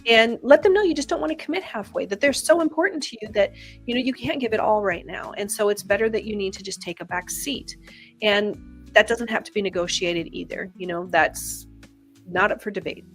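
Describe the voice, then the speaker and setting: A woman co-hosting a podcast, chatty and animated with many fillers, tired and losing her voice. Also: medium pitch, a little fast, faint music behind. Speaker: podcast co-host. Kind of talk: casual podcast conversation.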